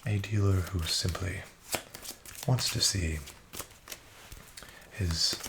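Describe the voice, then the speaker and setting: A soft-spoken man doing an ASMR roleplay as a friendly, courteous casino dealer, speaking in a formal, measured and encouraging way. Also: sits low, vocal fry, noisy background. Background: card shuffling and clicks.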